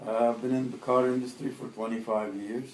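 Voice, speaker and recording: A Lebanese-born man in his fifties, Arabic his first language, answering an oral history interview in a halting way with many fillers and restarts. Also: mid-range voice, steady tone, a little mellow, roomy sound, slight background noise, poor audio.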